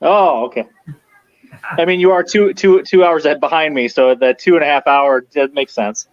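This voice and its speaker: A man with a slightly raised pitch chats easily as a guest, telling stories about his prop work in a relaxed way. His voice comes over a remote video call, dry and a little dull. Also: moderate pace, no room sound. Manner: relaxed storytelling, laughing easily. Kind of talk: casual interview chat.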